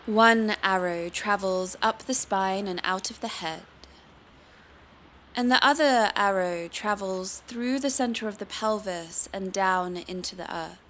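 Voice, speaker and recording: A woman teaching yoga meditation, guiding the listener in a calm, soothing, unhurried manner. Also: medium pitch, slight background noise. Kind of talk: guided meditation.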